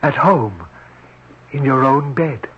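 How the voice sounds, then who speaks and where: An actor playing an otherworldly male servant who grants wishes, speaking in a slow, measured, formal way. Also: mid-range voice, lively intonation, fairly bright tone, slight background noise. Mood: sinister calm. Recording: dull old radio broadcast.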